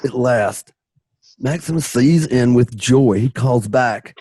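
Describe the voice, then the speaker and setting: A man narrating an amateur radio play in a raspy, hammy, playful voice, often shouting and dropping joking asides. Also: medium pitch, takes it slowly, no room sound.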